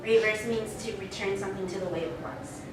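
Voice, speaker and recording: A woman's voice: medium pitch, brisk, noisy background, natural voice. A woman playing a bubbly, easily exasperated teacher of young superheroes in a live comedy read. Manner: expressive, patronizing, exaggerated sighing exasperation. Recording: very echoey convention hall, distant mic.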